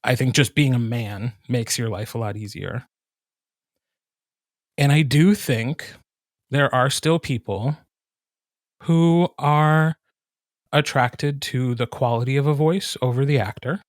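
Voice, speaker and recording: A man's voice: medium pitch, slow, long pauses, no room sound, studio quality. A man who narrates audiobooks, speaking frankly and thoughtfully in a casual roundtable discussion. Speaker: audiobook narrator, podcast co-host.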